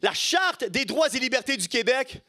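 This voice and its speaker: A man's voice: extremely high pitch, lively intonation, normal speed, pushed and bright. A middle-aged man with a Quebec French accent preaching an impassioned sermon, emphatic and rhetorical, repeating key phrases. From Quebec, Canada.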